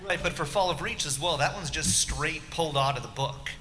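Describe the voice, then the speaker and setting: A man's voice: on the high side, fairly quick, sharp tone. A man from the audience asking questions casually at a live panel. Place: large convention panel room. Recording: echoey hall, faint background noise.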